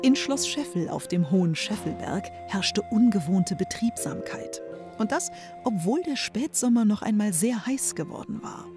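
A woman's voice: medium pitch, expressive, normal speed. A middle-aged woman reading scripted storybook narration as a storyteller, close to the microphone. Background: music.

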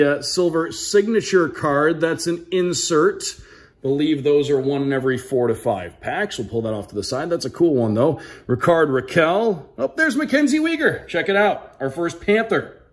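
An American man hosting a casual unboxing as a sports broadcaster, announcing names crisply like a play-by-play caller. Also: fairly high pitch. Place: indoors at a tabletop, small room.

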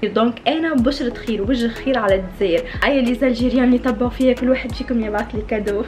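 Fast-talking Tunisian young woman vlogger. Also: fairly high pitch, darkish tone, soft background music. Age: young adult. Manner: chatty, playful, talks straight to followers. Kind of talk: casual selfie vlog talk. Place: room in a friend's home, indoors. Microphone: phone held at arm's length.

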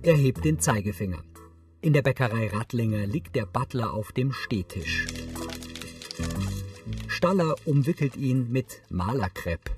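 Male narrator, scripted audio description, articulate, matter-of-fact, medium pitch, music behind. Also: animated, measured pace, long pauses.

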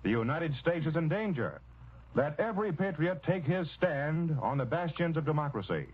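A man's voice: fairly high pitch, very animated, normal speed, exaggerated voice. A man as a wartime American orator, giving a solemn, declamatory 1940s radio address. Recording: muffled, raspy 1940s radio broadcast.

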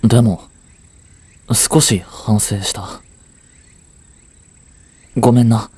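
A man's voice: lowish voice, steady tone, extremely slow, mellow, breathy edge, no room sound, full character voice. A man acting an aloof, blunt but devoted young boyfriend in a romantic audio drama, curt and intimate. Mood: apologetic, tender.

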